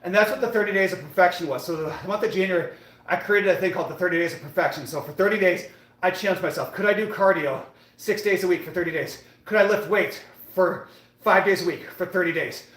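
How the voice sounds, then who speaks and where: American man, late thirties, high-pitched voice, casual motivational workout livestream, repetitive phrasing, echoey indoor space. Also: quick pace, a bit bright. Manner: motivational, repetitive, addressing viewers by name. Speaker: fitness live streamer. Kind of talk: casual livestream chat while working out. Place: indoor gym. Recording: echoey room.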